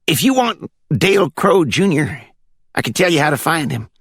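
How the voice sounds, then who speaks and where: Audiobook phone caller: sly rural Florida country fellow, wary and insinuating, pitched well up, swooping intonation. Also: male voice, very animated, normal speed, fairly bright tone, slightly rough, dry recording, exaggerated voice.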